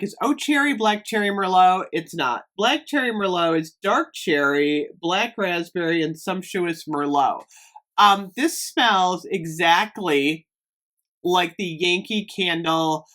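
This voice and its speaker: A woman from Northeast Ohio vlogging casually about fragrances, slightly hoarse, chatty with lots of fillers. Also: fairly low pitch, a bit bright. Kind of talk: casual chat to camera.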